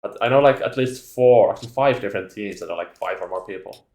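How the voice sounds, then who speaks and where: A man's voice: fairly low pitch, fast talker, a little mellow. Gamer man in echoey room. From Finland. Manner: joking, laughs often. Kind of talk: casual gaming chat over voice call.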